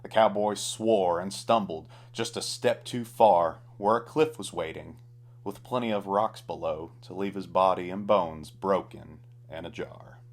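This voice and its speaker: A man's voice: low voice, unhurried. A man narrating a comic Western ballad in a dramatic, rhythmic storytelling manner. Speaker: storyteller, author reading own ballad.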